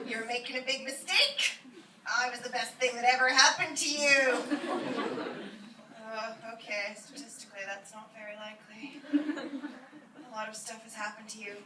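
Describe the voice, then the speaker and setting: A woman's voice: high voice, animated, unhurried, long pauses. A woman voicing a rambling young man, cocky and sheepish, in a comic reading. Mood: indignant. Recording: roomy, noisy, distant old iPod recording.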